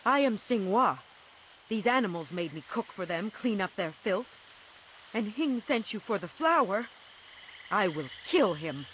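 A woman playing a sharp-tongued, indignant wife freed from captors in a video game, with a biting, sarcastic manner. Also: medium pitch, big pitch swings, unhurried, a little hiss or hum.

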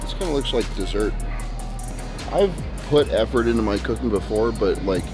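A young man joking around on a grocery run in a big store, relaxed and casually swearing. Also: lowish voice, normal speed, over music. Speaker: visiting bodybuilder. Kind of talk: casual chat while shopping. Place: large indoor grocery store.

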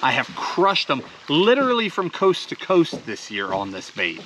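A man's voice: on the high side, huge pitch swings, normal speed, bright, forceful tone, slight background noise, low-quality recording. A man in his thirties, an enthusiastic fishing host explaining gear step by step while sitting on a boat on a lake outdoors. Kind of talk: instructional fishing talk to camera.

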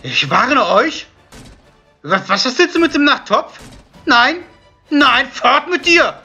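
A woman's voice: sits high, very animated, takes it slowly, pushed and bright, husky, faint music behind, heavily acted. A woman voicing a cowardly, flustered male manservant in a fantasy audio drama, stammering out whiny threats. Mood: panicked. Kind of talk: fan-made fantasy audio drama acting.

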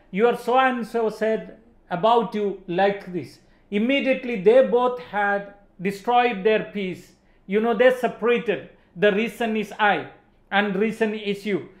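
A man's voice: high-pitched, reverberant. A man in his forties with an Indian accent preaching emphatically in a motivational religious talk, repeating phrases for stress. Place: indoor studio set.

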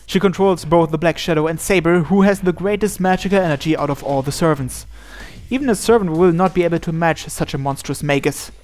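A man's voice: fairly high pitch, a little fast, darkish tone, faint music behind. A man narrating a visual novel aloud as host, reading the scripted text.

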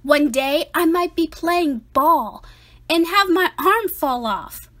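A woman voicing an anxious, dramatic five-year-old boy in an expressive picture-book read-aloud, with emphatic, theatrical delivery. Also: very high voice, slow, exaggerated voice. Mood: scared, fretful.

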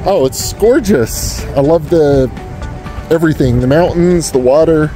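A man's voice: fairly high pitch, unhurried, over music, low-quality recording. A friendly middle-aged American man from Oklahoma, chatting casually as he films a walking tour outdoors. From Oklahoma, United States. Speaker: travel vlogger. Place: outdoors, walking through a mountain town. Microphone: handheld phone or camera.

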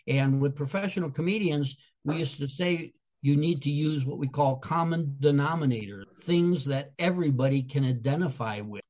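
A man's voice: mid-range voice, a little mellow. Chicago-accented older man, earnest and explanatory. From Chicago, USA. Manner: earnest, explanatory, with small restarts. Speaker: guest speaker and comedian. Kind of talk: casual interview answers.

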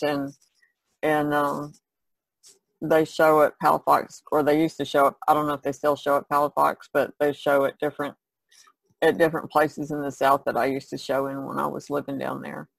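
A woman's voice: deep voice, little pitch movement, normal speed, gravelly, no room sound, rough recording. A woman co-hosting a podcast in casual chat, with a rambling, easygoing way of talking. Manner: rambling, easygoing, giggles often. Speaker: podcast co-host.

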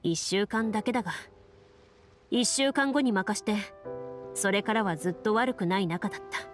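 Composed young woman duelist, measured actress's voice. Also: medium pitch, soft background music, lightly acted. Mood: calm, reflective. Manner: calm, measured, formal. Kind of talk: Japanese game voice acting.

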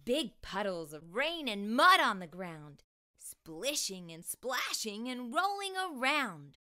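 A middle-aged woman hosts a children's storytime in a fairly high, very lively voice. She reads expressively and unhurriedly, shaping each phrase for young listeners. Her tone is bright and clear-cut. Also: takes it slowly. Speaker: children's storytime host and reader. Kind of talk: read-aloud storytelling for children. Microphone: lapel mic.

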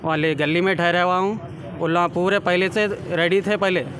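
A young man with a Hyderabadi accent speaks in a high voice, giving an eyewitness-style statement. He is insistent and circles back over his words, with crowd bustle around the microphones. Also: fairly even intonation, normal speed. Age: young adult. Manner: insistent, repetitive, often urging listeners to hear them out. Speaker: injured attack victim giving a statement. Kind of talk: spontaneous interview account to reporters. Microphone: handheld reporters' microphones held close. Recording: street-interview sound with crowd bustle.

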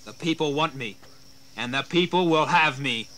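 Bold colonial rebel chief, audio drama. Bright, declamatory, slightly high, faint background noise. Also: male voice, moderate pace. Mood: furious, demanding. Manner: forceful, declamatory. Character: bold, hot-headed colonial rebel leader. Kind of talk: historical audio drama acting.

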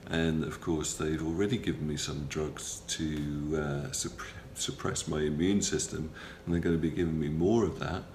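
A middle-aged man talks in a very deep, gravelly voice, halting and matter-of-fact, pausing often on ums and uhs. He has a cold and sounds a little stuffy. The camera-close recording from a small room is roomy, with a faint hum behind him. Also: fairly even intonation, darkish tone. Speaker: patient video blogger. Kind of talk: casual video diary. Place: small hospital room, indoors. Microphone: phone or camera close up, front-facing.

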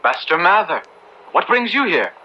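A man's voice: high voice, very animated, moderate pace. An actor playing an earnest, courteous Episcopalian clergyman, speaking formally in an old-time radio drama. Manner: formal, polite diction. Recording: dull, noisy old radio drama recording.

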